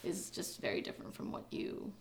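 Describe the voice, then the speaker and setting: A young woman speaking as an interview guest in a relaxed, chatty way, full of "like" and quick laughs. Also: a little hiss or hum. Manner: chatty, many fillers, laughs when uncomfortable. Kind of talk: casual interview chat.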